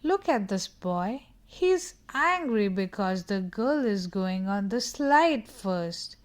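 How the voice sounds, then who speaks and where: A woman's voice: medium pitch, expressive, slow. A woman reading a picture book aloud to young children in an instructive way, putting questions to her listeners.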